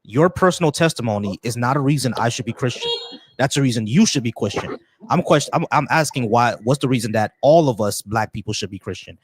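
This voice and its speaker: A man's voice: medium pitch, lively intonation, fast talker, slightly rough, dry recording. A man hosting a call-in debate who keeps interrupting and pressing the same question again and again. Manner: insistent, repeats questions and interrupts. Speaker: debate show host.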